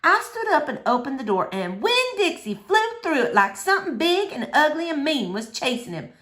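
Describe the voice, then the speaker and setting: A woman reading a children's novel aloud in an expressive, animated storytelling manner. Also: sits high, normal speed. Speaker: storybook reader.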